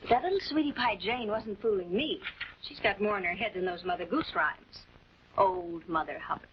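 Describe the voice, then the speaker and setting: Wry girl investigator for 1940s TV mystery, American, animated, muffled sound. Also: mid-range voice, normal speed, gravelly. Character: perky, wry young female assistant investigator. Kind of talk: 1940s television crime drama acting. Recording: muffled old 1940s film soundtrack with hiss.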